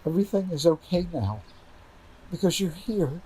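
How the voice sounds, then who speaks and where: A man playing a devoted, grieving husband in a horror audio drama, pleading and bewildered in a halting, emotional delivery. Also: on the high side, expressive, dark tone, slight background noise, close to an everyday voice, rough recording. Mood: relieved.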